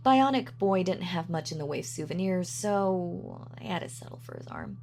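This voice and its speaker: A woman's voice: fairly low pitch, lively intonation, moderate pace, creaky, a little mellow, a little hiss or hum. A woman playing a gloating, sadistic supervillain in an audio roleplay, with a mock-sweet, sardonic, taunting manner. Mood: gloating, teasing. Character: gloating female supervillain, sadistic, darkly playful. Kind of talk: villain monologue in audio roleplay.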